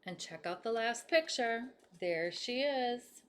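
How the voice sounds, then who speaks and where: Animated woman reading a storybook, bright voice. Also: a little high, takes it slowly, clean tone. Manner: animated, addressing young listeners with questions. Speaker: storybook reader. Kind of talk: expressive read-aloud for children, with asides.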